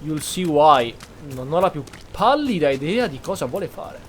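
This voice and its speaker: A man's voice: on the high side, sing-song, normal speed, a little hiss or hum. A man giving casual, sarcastic reaction commentary as he hosts a video game playthrough. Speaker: gamer, channel host.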